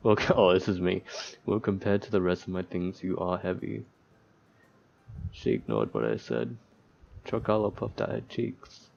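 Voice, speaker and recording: A man doing casual gaming commentary as he reads a visual novel's text aloud, somewhat haltingly, with joking asides. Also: low voice, creaky, a little mellow, lo-fi sound. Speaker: let's player reading a visual novel aloud.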